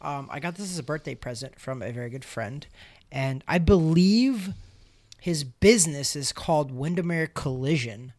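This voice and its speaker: A man with a fairly high voice co-hosts a casual boxing podcast and chats in a loose, slightly scattered way. He talks into a studio mic with headphones in a small gym podcast room. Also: lively intonation, normal speed, a little mellow, no room sound. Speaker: podcast co-host.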